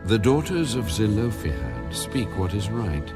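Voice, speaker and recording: A man's voice: fairly low pitch, very animated, measured pace. A man playing God with solemn authority in a dramatized Bible reading. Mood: solemn, commanding. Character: God, commanding solemn male divine voice. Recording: music bed under the voice.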